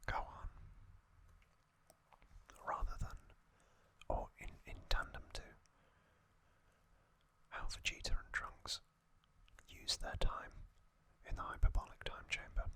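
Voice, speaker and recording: An ASMR host speaking commentary in a breathy, unvoiced whisper, slowly and deliberately in short phrases with pauses. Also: bright, forceful tone.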